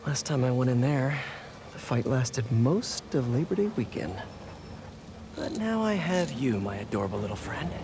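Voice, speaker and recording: A youthful video game hero with a mid-range voice. He sounds earnest and quippy, as if thinking out loud. There is clear ambient noise behind him. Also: medium pitch, very animated, normal speed. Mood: focused, quippy. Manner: quippy, musing aloud to himself. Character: earnest, wisecracking young male superhero. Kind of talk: video game voice acting, conversational.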